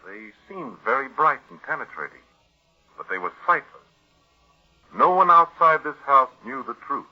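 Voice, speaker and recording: A man playing a shrewd, grave family doctor in 1940s radio drama, deliberate and probing like an accuser. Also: mid-range voice, sing-song, soft background music. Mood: accusing. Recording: muffled old radio recording.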